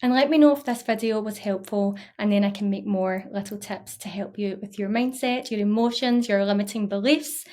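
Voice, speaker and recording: A woman's voice: mid-range voice, moderate pace, few pauses, dark tone. A woman in her thirties with a Scottish accent, talking to camera as a mindset coach in an earnest, encouraging way. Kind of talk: casual talk to camera.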